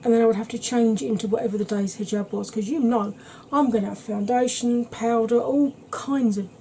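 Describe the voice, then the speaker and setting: A woman in her thirties chatting to camera at home in a soft ASMR style, rambling and often dropping into whispers. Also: a little high, steady tone, a little fast, slight background noise, rough recording. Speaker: beauty vlogger. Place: small cluttered room at home.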